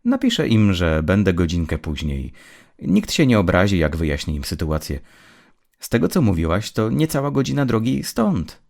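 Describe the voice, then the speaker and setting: A man voicing an eager, earnest teenage girl, a caring sister who talks persuasively, in a dark audio story. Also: low-pitched, huge pitch swings, normal speed, gravelly, full character voice. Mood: determined. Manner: pleading, keen, persuasive.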